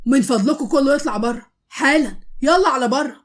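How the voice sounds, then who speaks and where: A woman voicing a short-tempered male police officer who shouts orders, with an Egyptian accent. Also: a little high, steady tone, racing, close to an everyday voice. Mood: angry. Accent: Egyptian Arabic. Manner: shouting, commanding.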